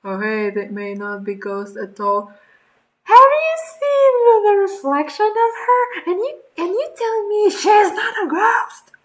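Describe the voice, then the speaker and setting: A woman streamer giving animated, sweary, exclaiming reaction commentary on a game. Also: very high voice, slow, low-quality recording.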